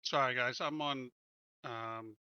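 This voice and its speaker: A man playing a tabletop game over his cell phone hotspot, making plain, brisk requests. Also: mid-range voice, fairly even intonation, pushed and bright, smooth, dry recording. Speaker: player. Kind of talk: casual in-character tabletop play. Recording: muffled phone hotspot audio.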